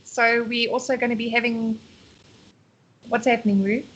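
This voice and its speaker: South African woman, breathy and a touch high, chatty stream co-host who reads comments aloud. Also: little pitch movement, normal speed, fairly bright tone, low-quality recording. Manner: chatty, laughs often, reads out viewer comments. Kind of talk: casual chat.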